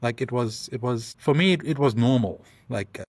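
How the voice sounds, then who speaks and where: A South African man, a podcast guest, talking through his story in halting restarts and frequent ums. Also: mid-range voice, darkish tone, no room sound, studio quality. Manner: thoughtful, speaks in halting restarts with many ums. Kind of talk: conversational interview.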